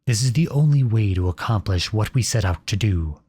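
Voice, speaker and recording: A man playing a protective, noble outlaw prince in an earnest, dramatic ASMR audio roleplay, with a soft, husky voice close to the mic. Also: fairly low pitch, lively intonation, fairly quick. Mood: urgent, resolute. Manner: earnest, dramatic, addresses unseen listeners directly. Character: outlawed young prince, protective, noble, devoted to his beloved. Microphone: close studio-style microphone, dry.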